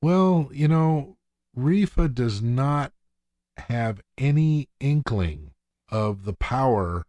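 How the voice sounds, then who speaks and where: A man's voice: mid-range voice, lively intonation, slow pace, dark tone, no echo. A man co-hosting a podcast, recapping a TV episode in casual conversation. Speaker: podcast co-host.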